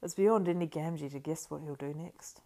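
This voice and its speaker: A woman reading the thoughts of a plain-spoken, doubtful male hobbit servant, muttered low and homely. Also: moderate pace, dark tone. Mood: muttering.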